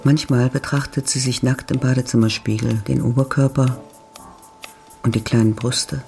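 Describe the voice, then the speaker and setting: Male narrator, radio drama: gravelly, fry-edged voice, sober descriptive reading over faint music. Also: medium pitch, steady tone, normal speed. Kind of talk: scripted literary narration in a radio play. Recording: studio voice over soft music.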